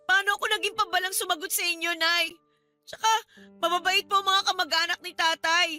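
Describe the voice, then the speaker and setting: A woman's voice: extremely high pitch, steady tone, brisk, sharp tone, faint music behind, full character voice. A woman playing a hurt young student daughter in a Filipino radio drama, pleading earnestly and respectfully but insistently.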